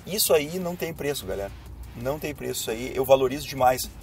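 A young man with a southern Brazilian gaucho accent, a bit hoarse from a cold, chatting to viewers while driving through city traffic in a car. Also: medium pitch, lively intonation, quick pace, faint music behind. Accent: southern Brazilian, Rio Grande do Sul. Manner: chatty, addresses viewers directly. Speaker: YouTuber. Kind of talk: casual driving vlog chat. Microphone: camera mounted on dashboard.

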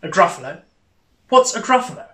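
A man's voice: high voice, big pitch swings, fairly quick, bright, forceful tone, reverberant, heavily acted. A man voicing a sly, hungry storybook fox who is easily spooked, inviting and questioning. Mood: inviting, curious.

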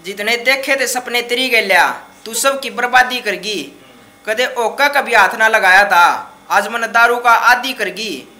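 A man's voice: very high-pitched, unhurried, background noise. A man in his twenties with a Haryanvi accent reciting shayari at an open mic in an emphatic, dramatic way. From Haryana, India. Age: 20s. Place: small dark indoor open-mic stage. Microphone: microphone on stand close in front.

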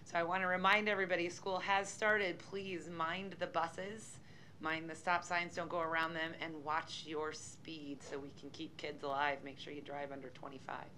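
A woman's voice: sits low, level tone, moderate pace, pushed and bright, slight background noise, low-quality recording. A woman council member with a Midwestern American accent, giving a casual, earnest invocation with fillers at a desk mic in a council chamber. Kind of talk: informal prayer and reminder. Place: large city council chamber. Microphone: desk microphone.

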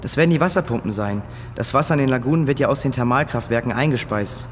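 Know-it-all boy played by a male, mid-pitched. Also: fast talker, slight background noise, natural voice. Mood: matter-of-fact. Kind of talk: children's audio drama acting.

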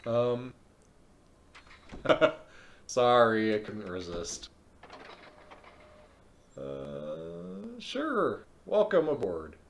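Slow-talking man streaming a game. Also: fairly low pitch, a little hiss or hum. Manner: relaxed, thinks aloud with frequent ums. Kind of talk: live let's-play commentary.

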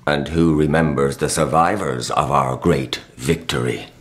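An actor playing a haunted, war-weary crusader uncle in a historical radio drama, grave, halting and dramatic. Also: male voice, rumbling low, steady tone. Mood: grim, haunted.

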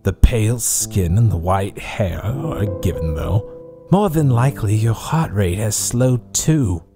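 A man's voice: fairly low pitch, expressive, a little slow, over music. A man playing a menacing, smug doctor villain in an audio horror drama, pompous and theatrical. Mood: smug, coaxing.

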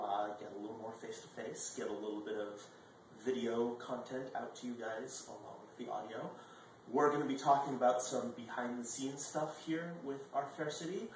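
A man in his twenties hosting a video blog, chatting casually and animatedly to the camera, with sudden jumps in volume. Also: mid-range voice. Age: 20s. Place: indoor dining room at a table. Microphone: far camera microphone. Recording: echoey room, distant mic, faint noise.

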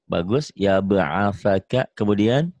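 A man with a Sundanese accent teaching Quran reading over a video call, drawing out syllables slowly, correcting and praising a student. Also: fairly low pitch, expressive, darkish tone, no room sound. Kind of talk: online reading lesson.

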